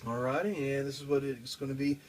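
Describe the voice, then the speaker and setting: A man's voice: mid-range voice, steady tone, a little fast. A man talking informally through a guitar effects pedal demo, explaining casually and hands-on as he goes. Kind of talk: informal gear demo talk.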